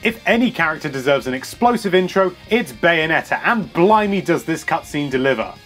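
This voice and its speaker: British man, high voice, cheeky and enthusiastic, scripted countdown narration, music playing behind. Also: animated, fairly quick, a bit bright. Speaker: video host.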